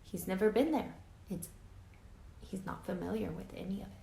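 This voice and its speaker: A woman reading a children's picture book aloud like a teacher, deliberate and emphatic, drawing out words and adding explanatory asides. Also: mid-range voice, gravelly, warm tone. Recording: echoey room, faint background noise.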